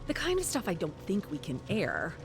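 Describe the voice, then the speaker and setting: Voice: mid-range voice, huge pitch swings, a little fast. A narrator voicing Terrence, a blunt, eager male senior TV producer, over a music bed.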